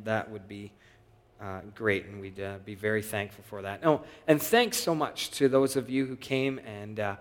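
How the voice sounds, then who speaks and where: A man preaching a sermon and giving announcements to his congregation in a relaxed, conversational way with frequent filler pauses. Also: medium pitch, in a large hall. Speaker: pastor. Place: church sanctuary.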